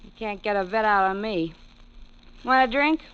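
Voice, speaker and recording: An actress playing a brittle, drunken, defiant socialite wife, sardonic, in 1940s radio drama style. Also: female voice, medium pitch, moderate pace, clear, resonant tone, close to an everyday voice. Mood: taunting, bitter. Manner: sardonic, taunting. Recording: muffled old radio recording.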